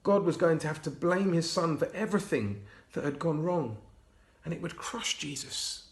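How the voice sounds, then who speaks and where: A man reading a Bible storybook aloud to children, expressive and often hushed. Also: on the high side, normal speed. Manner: hushed, expressive, dropping to whispers. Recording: roomy echo.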